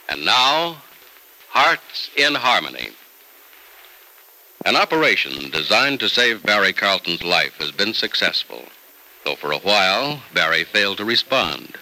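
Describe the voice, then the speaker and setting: Very bright, animated vintage radio announcer man. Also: medium pitch, low-quality recording. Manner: polished, declamatory delivery. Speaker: announcer and narrator.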